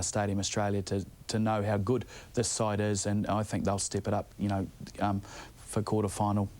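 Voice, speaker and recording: A man's voice: fairly low pitch, a little mellow. A man giving off-the-cuff analysis as a sports pundit. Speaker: rugby pundit.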